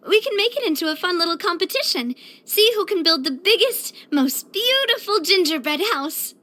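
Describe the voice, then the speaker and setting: A young woman voicing a cheerful, elegant young lady leader in a video game, earnest, chatty and expressive. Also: extremely high pitch, normal speed, exaggerated voice. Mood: playful, eager. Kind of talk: video game character voice lines.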